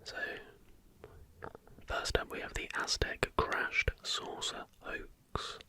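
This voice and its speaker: An ASMR narrator who whispers from start to finish. The delivery is soft and unhurried, following a script like a documentary read, with breath in place of voiced tone. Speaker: host.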